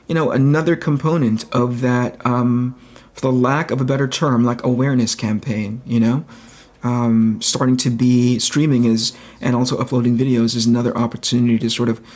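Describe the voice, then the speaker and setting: A man with a relaxing, slightly raspy voice at an ordinary male pitch. He talks casually, as a streamer does while working, and reflects aloud, often reaching for the right word before going on. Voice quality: relaxing, soft-edged voice. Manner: reflective, searching for words, thinking aloud. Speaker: livestream host, comic book artist. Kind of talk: casual livestream chat while drawing.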